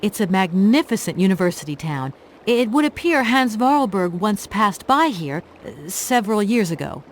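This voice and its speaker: An actress playing a polite, persistent young traveller in a video game, courteous and well-spoken. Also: female voice, medium pitch, sing-song, normal speed, a little hiss or hum, lightly acted. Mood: polite, upbeat.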